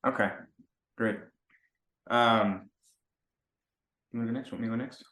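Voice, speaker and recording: A man's voice: mid-range voice, fairly even intonation, normal speed, fairly bright tone. A man co-hosting a podcast as a consultant, asking questions in a rambling, hesitant way. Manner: rambling, hesitant, searching for words. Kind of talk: casual interview chat. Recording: roomy echo.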